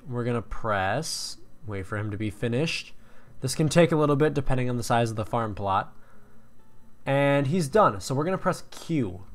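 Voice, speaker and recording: Casual young man explaining a game. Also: medium pitch. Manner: casual, explanatory, with fillers and restarts. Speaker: game tutorial host. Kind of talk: screen-recorded game tutorial.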